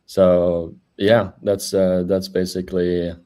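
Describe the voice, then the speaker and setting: A man, chatting as a guest over a video call in a halting way with many fillers. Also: sits low, little pitch movement, warm tone, clean tone. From Norway. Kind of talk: casual interview chat.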